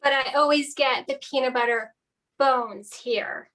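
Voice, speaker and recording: Actress as pushy lady customer, high voice, bit far from the mic, audio drama. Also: fairly even intonation, measured pace, fairly bright tone, slight background noise. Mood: impatient, insistent. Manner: demanding, persistent. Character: impatient, insistent female pet store customer. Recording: slightly distant from the mic.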